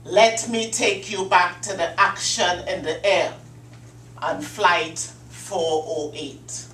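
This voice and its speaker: Woman speaker telling a comic story, very unhurried, mic far away in an echoey meeting room. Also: lowish voice, steady tone, fairly bright tone. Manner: deliberate dramatic storytelling with pauses. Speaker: Toastmasters club speaker. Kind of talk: prepared humorous speech. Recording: echoey room, distant mic, faint hum.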